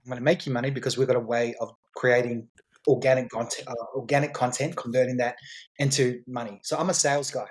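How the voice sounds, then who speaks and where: A man's voice: mid-range voice, steady tone, fast. Confident, salesy male entrepreneur guest. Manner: salesy, confident, repeats himself and restarts. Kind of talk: podcast interview, explaining his business.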